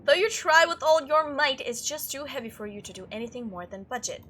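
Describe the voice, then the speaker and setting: A woman's voice: high voice, a little fast, a bit bright, slight background noise. A woman doing a let's play, reading game text aloud and reacting in a chatty, playful way. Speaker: video game streamer doing a playthrough.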